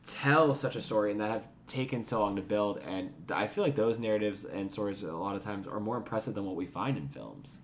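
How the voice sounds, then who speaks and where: A man's voice: fairly low pitch, fairly quick, few pauses. A man co-hosting a podcast, talking through his opinions in a casual, reflective discussion with some background noise. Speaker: podcast co-host.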